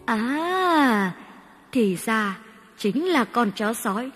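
A woman's voice: fairly high pitch, very animated, very slow, a bit bright, husky, exaggerated voice. A woman voicing a grown man who is a hunter in a cartoon, exclaiming his discovery. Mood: pleased. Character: adult male hunter, steady and brave. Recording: reverberant with background music.

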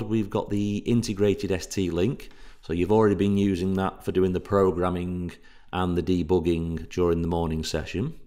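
A man with a low voice presents technical training material. He sounds like an instructor talking over slides, explaining things one step at a time in informal, practical language. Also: darkish tone. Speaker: workshop instructor.

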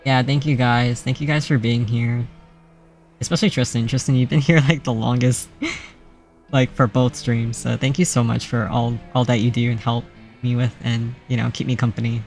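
Soft-spoken streamer man with gravelly fry. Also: medium pitch, normal speed. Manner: soft-spoken, laughs often, self-described monotonous voice. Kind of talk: casual stream sign-off chat. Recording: faint game music behind.

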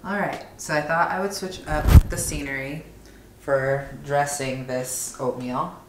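A young woman with a notably low voice talks casually through a recipe as she works. She is informal and unscripted, like a home vlogger. The room is clearly echoey, with noticeable kitchen noise of pots and utensils behind her. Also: steady tone, measured pace. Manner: informal, narrating each step while working. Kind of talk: casual cooking demonstration.

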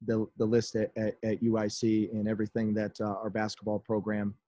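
Halting coach on a dry video call. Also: male voice, fairly low pitch, monotone, dark tone, dry recording. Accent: Chicago-area American. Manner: halting, many restarts and fillers. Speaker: head basketball coach. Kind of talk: postgame press conference. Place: indoors in front of a team backdrop. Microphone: video call webcam.

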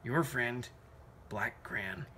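A man's voice: medium pitch, extremely slow, pushed and bright, husky, heavily acted. A man voicing an aggrieved, sulky crayon, grumbling as he reads from a children's picture book. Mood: sulky, resentful.

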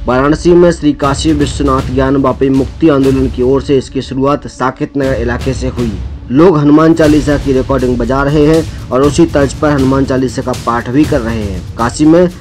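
A man's voice: mid-range voice, fairly even intonation, normal speed, a little mellow. Man narrating news over music. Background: background music.

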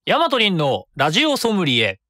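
A man's voice: high voice, huge pitch swings, a little fast, pushed and bright. A man hosting his own web radio show, chatting and reading listener comments in a rambling way with many fillers.

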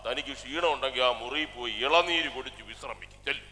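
Man as a bright-voiced sardonic politician. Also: medium pitch, fast, close to an everyday voice. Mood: indignant. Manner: colloquial, mocking. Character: sardonic, scheming Kerala male politician. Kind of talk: stage comedy skit acting. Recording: echoey stage hall, noisy ambience.

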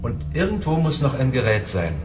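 A man's voice: mid-range voice, sing-song, normal speed, close to an everyday voice. A man plays a lonely, simple neighbour in a radio play. He is shy and earnest, his intonation swinging widely, in an echoey space with music behind him. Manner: hesitant, earnest. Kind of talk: radio drama acting.

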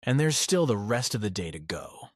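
Visual novel lead: man as anxious, sardonic high schooler, deadpan grumbler, lowish voice. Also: moderate pace, no room sound, studio quality. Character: cynical, anxious, foul-mouthed male high school student. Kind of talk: first-person visual novel narration and dialogue.

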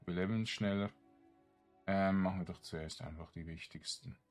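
A man giving casual game commentary, thinking aloud in a halting mutter as he plays. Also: low voice, steady tone, soft background music. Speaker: gaming streamer.

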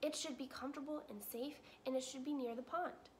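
A woman voicing a polite, calm female robot who speaks in formal, careful sentences. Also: sits high, brisk, a little hiss or hum, close to an everyday voice. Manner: formal, even, careful speech.

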